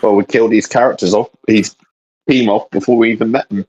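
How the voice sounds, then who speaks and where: A man's voice: on the low side, fairly even intonation, quick pace, a little mellow, breathy edge. A man co-hosting a casual fan discussion, speaking hesitantly as he searches for his words. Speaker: co-host. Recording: dull, lo-fi sound.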